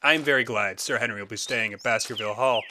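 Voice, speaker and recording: A man's voice: medium pitch, fast talker, sharp tone, a little hiss or hum. A man acting in an audio drama as a genial, eccentric naturalist who guides visitors with eager, theatrical and sometimes ominous storytelling. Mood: genial, enthusiastic.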